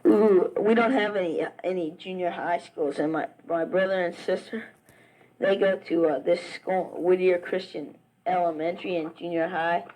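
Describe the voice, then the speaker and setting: A man's voice: high-pitched, fairly even intonation, normal speed, a little mellow, low-quality recording. Rambling teenage boy with a Southern accent. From Virginia, US. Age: teens. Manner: rambling, chatty, full of "uh" and restarts. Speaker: high school wrestler. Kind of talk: casual spoken letter to a friend.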